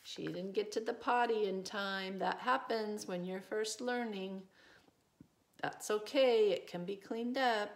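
A middle-aged woman reading a children's storybook aloud, adding gentle, reassuring asides in simple phrases for young children. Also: mid-range voice, a little slow, vocal fry. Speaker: preschool teacher reading a picture book aloud. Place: small indoor room with desk and shelves.

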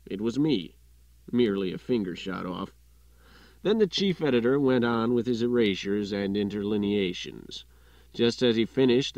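A man's voice: medium pitch, darkish tone, no echo, dull audio. A man reading a literary short story as an audiobook narrator, in scripted narration.

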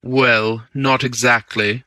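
A man reading an audiobook, voicing a cool, quietly amused gentleman burglar who speaks low, hushed and unhurried. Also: level tone, no room sound. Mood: calm. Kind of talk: audiobook character voice.

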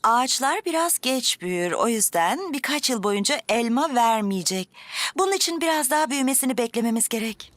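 A woman's voice: on the high side, lively intonation, brisk, a bit bright, no echo. An upbeat cartoon mother. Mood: cheerful. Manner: upbeat, praising. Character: cheerful young mother. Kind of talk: dubbed cartoon acting.

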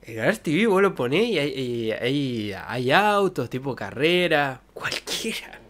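A man's voice: medium pitch, very animated, a little slow, fairly bright tone. A young man with a Santa Fe Argentine accent, chatting casually and colloquially on a livestream in a jokey storytelling way. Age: young adult. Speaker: streamer. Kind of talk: casual livestream chat, reminiscing. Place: bedroom streaming setup. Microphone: close desk microphone with pop filter.

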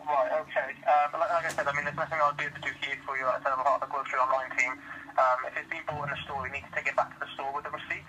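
A man's voice: a little high, level tone, racing, bright, forceful tone. A British man working as a customer service agent, taking a telephone call. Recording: noisy telephone line.

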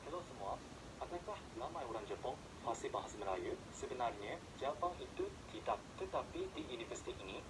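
A man's voice: mid-range voice, expressive, fairly quick. A young man from Japan, politely presenting an interview clip as the channel's host. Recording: clip with clear background noise.

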